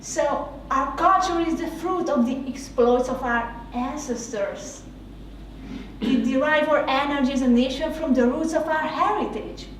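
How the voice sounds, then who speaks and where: A woman with a Hungarian accent, a native Hungarian speaker, giving a reflective spoken essay in a slow, measured, heartfelt way. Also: high-pitched, a little hiss or hum. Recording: very echoey room, mic at a distance.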